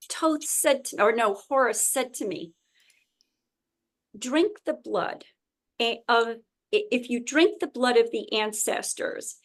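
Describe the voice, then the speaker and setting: A woman talking casually and earnestly, often pausing with fillers and restarting her sentences as she recounts a meditation experience. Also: a little high. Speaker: spiritual tour host. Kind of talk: casual conversation.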